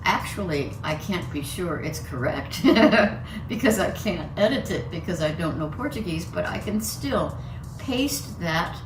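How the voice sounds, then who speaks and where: Older American woman, low voice, roomy echo. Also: animated, unhurried, recorded from a distance, music in the background. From United States. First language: English. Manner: chatty and personal, laughs between points. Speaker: conference speaker. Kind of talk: lecture talk.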